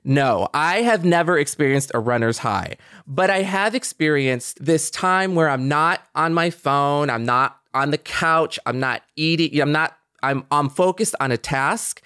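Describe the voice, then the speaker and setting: A man's voice: a little high, expressive, unhurried, hardly any pauses, bright, forceful tone, clear, resonant tone, no room sound. Man co-hosting, very dry close sound. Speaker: podcast co-host. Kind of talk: casual reflective chat.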